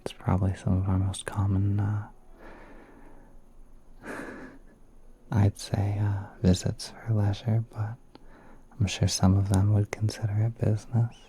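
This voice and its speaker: A man as a slow, dark-voiced whispering spider prince. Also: lowish voice, monotone, a little hiss or hum. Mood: musing, gentle. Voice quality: breathy, often whispered. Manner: hesitant, polite, musing, unhurried. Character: gentle, courteous male spider prince, soothing and shy. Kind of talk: ASMR roleplay, soft-spoken monologue.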